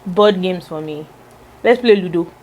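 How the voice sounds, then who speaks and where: A woman's voice: fairly low pitch, darkish tone, slight background noise, low-quality recording. A woman vlogger reading out tag questions in a casual, teasing chat with her husband. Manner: playful, teasing, drawn-out musing.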